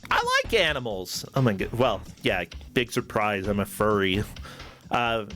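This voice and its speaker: A man's voice: on the low side, very animated, a little slow, a bit bright. A man hosting a livestream in a casual, chatty, effusive and informal way. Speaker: livestream show host. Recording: music playing behind the voice. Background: background music.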